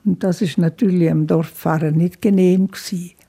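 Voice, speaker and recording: An older woman with a Swiss German accent, recalling her childhood in a thoughtful interview, with frequent fillers and soft laughs. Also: on the low side, fairly even intonation, normal speed, creaky, breathy edge. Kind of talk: reflective life-story interview.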